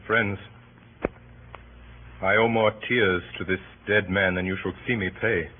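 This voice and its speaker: A noble Roman commander, weary and resolved to die. His deep voice delivers verse in a grave, measured declamation. It comes through a muffled, hissy 1930s radio recording. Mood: grieving. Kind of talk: 1930s radio Shakespeare acting.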